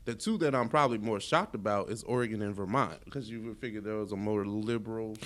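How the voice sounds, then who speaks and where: A man's voice: lowish voice, fast talker, a bit bright. An American man co-hosting a podcast, talking casually with an American accent. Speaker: podcast co-host. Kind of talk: casual chat.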